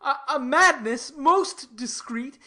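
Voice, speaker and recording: A woman's voice: high voice, animated, slow, pushed and bright, heavily acted. A woman playing a lovesick, brooding young nobleman in a dramatic Shakespearean reading, with a sighing, melodramatic delivery. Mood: anguished, passionate.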